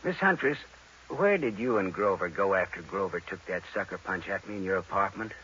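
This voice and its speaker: An actor playing a wry, tough private detective who narrates a 1940s radio noir, deadpan and laconic. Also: male voice, low voice, fairly quick, slight background noise. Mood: cool, probing. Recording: muffled vintage radio recording, dramatic music.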